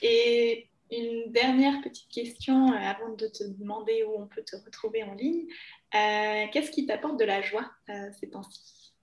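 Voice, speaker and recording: A woman hosting a conversational interview, asking questions in a slightly high voice. She mostly gives brief supportive backchannels while her guest talks. The recording sounds echoey. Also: unhurried. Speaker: podcast host. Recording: echoey room.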